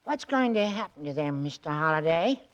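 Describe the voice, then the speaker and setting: An actor in a 1940s radio drama playing a timid, boastful, hesitant and naive detective. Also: male voice, a little high, big pitch swings, normal speed, lo-fi sound. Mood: earnest, hesitant. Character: timid, boastful male detective, sweet and hapless.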